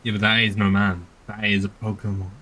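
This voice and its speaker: A man's voice: lowish voice, flat delivery, fairly quick, sharp tone, slight background noise. A man streaming a video game with casual commentary, sounding exasperated, sardonic and nitpicking. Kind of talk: casual game commentary with dialogue read aloud.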